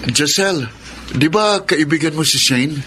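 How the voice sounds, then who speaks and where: An actor playing an eager, pleading young son in a Filipino radio drama. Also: male voice, fairly high pitch, expressive, measured pace, loud music behind the voice. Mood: coaxing.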